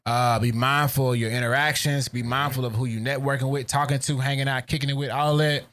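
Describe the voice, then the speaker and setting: A man hosting a casual podcast chat, talking in a relaxed way with slang and many fillers. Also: mid-range voice, a little fast. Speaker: podcast host.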